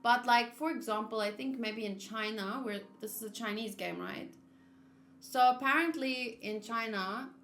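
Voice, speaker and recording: A woman game streamer giving casual commentary, musing aloud in a halting, conversational way. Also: medium pitch, fairly bright tone, slight background noise. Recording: roomy sound over faint game music.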